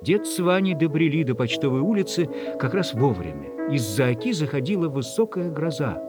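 A man narrating a literary story from a script in a measured, expressive way. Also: on the high side, loud music behind the voice.

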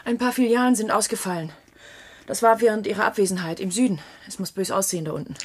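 An actress playing a troubled, worn-down office colleague on a dying Earth in a 1970s German sci-fi radio drama. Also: female voice, mid-range voice, fast talker, slight background noise, lightly acted. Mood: troubled, weary.

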